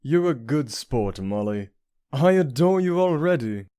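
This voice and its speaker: Charming rogue prince for a comic dub, played by a man: courtly, mellow, mid-pitched, self-assured, close dry sound. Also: lively intonation, unhurried, no echo, close to an everyday voice. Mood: suave. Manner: smooth-talking, genteel, self-assured. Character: suave, flirtatious, penniless prince with courtly charm.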